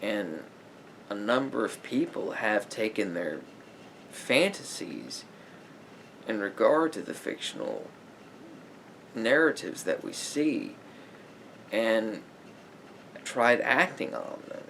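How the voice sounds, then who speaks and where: A man playing a patient, probing therapist in a soft-spoken ASMR roleplay, with halting, deliberate phrasing and a gravelly voice that drops toward breathy near-whispers. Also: fairly low pitch, wildly swooping pitch, a little hiss or hum, full character voice, low-quality recording. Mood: calm, counselling. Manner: halting, deliberate phrasing with pauses and reflective murmurs. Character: patient, probing male therapist gently counselling a client.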